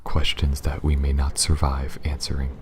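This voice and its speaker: A man playing a calm, reassuring alien spaceship pilot in an ASMR roleplay, speaking softly and haltingly, often near a whisper. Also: very deep voice, background noise. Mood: apologetic, explaining. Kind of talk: ASMR roleplay addressed to the listener.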